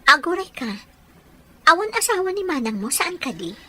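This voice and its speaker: An actress playing a caring, inquisitive young bride-to-be in a radio drama, expressive and questioning. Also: female voice, very high voice, normal speed, slight background noise, heavily acted. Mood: curious.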